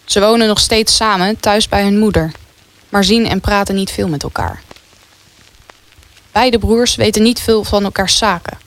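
A woman's voice: medium pitch, normal speed, long pauses. A woman reading scripted narration as the storyteller of a wartime radio play.

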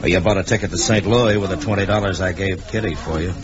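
An actor playing a stern, shrewd Old West marshal, terse and commanding, with an American accent, in a radio Western. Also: male voice, low-pitched, fairly even intonation, fast talker, crowd noise, close to an everyday voice. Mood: wry, shrewd. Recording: muffled, noisy vintage radio recording.

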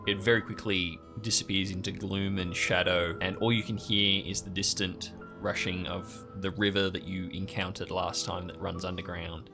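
A man's voice: low voice, flat delivery, moderate pace, creaky, few pauses, over music. A man narrating improvised, descriptive scenes as game master of an adventure podcast.

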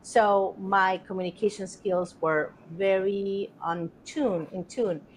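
A woman's voice: mid-range voice, fairly even intonation, slow pace, slight background noise, poor audio. A middle-aged woman from Colombia, a Spanish speaker, telling her story as a podcast guest in an earnest, reflective way with many fillers. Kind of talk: casual interview storytelling.

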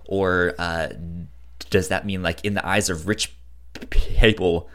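Male podcast co-host, lowish voice, stutters on first sounds, halting casual film talk. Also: level tone, a bit bright. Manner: halting, hesitant delivery with frequent restarts.

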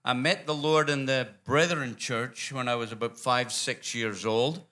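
A man's voice: medium pitch, fairly even intonation, a little slow, sharp tone. An older man from Scotland with a Scottish accent, telling his faith story as a guest in a church interview, rambling and restarting often.

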